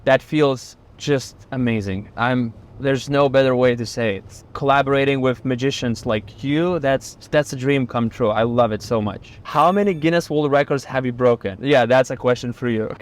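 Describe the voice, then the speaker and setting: A man's voice: medium pitch, normal speed, hardly any pauses. A young man with a Lithuanian accent, a magician hosting a casual chat in a car. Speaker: magician and channel host.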